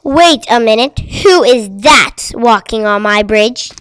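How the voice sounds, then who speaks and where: A woman acts out a mean old storybook troll with a high voice and deliberate pace. The troll is bossy and threatening, hollering orders in a dry, close sound. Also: lively intonation, fairly bright tone, clear, resonant tone, no echo. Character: mean, gruff old male troll guarding his bridge.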